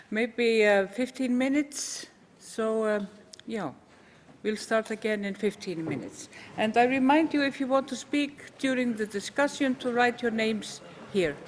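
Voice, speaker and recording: A woman's voice: a little high, slight background noise. A woman moderating a conference, hesitant, with many fillers between phrases. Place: conference hall. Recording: long hall reverb.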